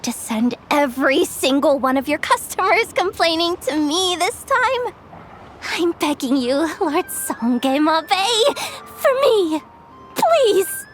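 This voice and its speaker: A woman's voice: extremely high pitch, big pitch swings, slow, a little hiss or hum, heavily acted. A woman playing a frazzled, earnest young female expo manager in a video game, flustered and stammering. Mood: pleading, resigned. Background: music.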